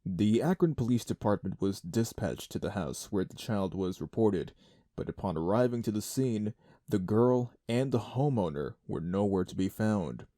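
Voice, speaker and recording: A serious male news anchor played by a man with a lowish, mellow voice. He reads formally and measuredly in a dry, close recording. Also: lively intonation, normal speed, dry recording, close to an everyday voice. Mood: grave. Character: grave male TV news anchor reporting disappearances. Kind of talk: scripted news narration.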